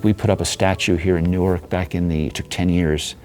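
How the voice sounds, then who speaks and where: A man's voice: sits low, steady tone, fairly quick, darkish tone. A man being interviewed, telling a long, rambling story about his neighborhood's history in a casual way. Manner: rambling, restarts, chuckles.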